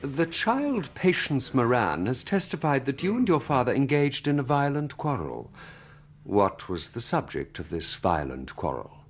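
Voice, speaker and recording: A man's voice: medium pitch, sing-song, unhurried. An actor playing a stern, sceptical inquest examiner in a radio drama, pompous and incredulous as he puts clipped questions. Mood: probing. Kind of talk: radio drama courtroom acting.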